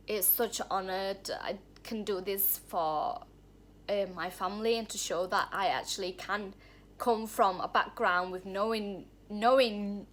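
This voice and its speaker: A woman's voice: mid-range voice, fairly bright tone, a little hiss or hum. A woman speaks to the camera from a room at home. She tells her own story in a candid, heartfelt way, rambling and restarting her sentences as she goes. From Thailand. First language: Thai. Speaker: vlogger. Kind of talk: personal story vlog.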